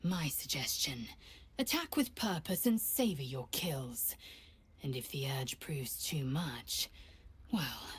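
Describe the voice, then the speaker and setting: A woman playing a stern, haughty alien warrior in a fantasy video game, speaking in a clipped, disdainful, commanding way with a husky rasp. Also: sits low, animated, takes it slowly, full character voice. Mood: coolly approving. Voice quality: husky, raspy.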